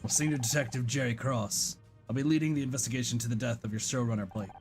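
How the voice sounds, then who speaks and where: A performer voicing a gruff, impatient older male police detective in a video game, curt and commanding. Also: mid-range voice, fairly even intonation, quick pace, a little mellow, soft background music, natural voice. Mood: businesslike.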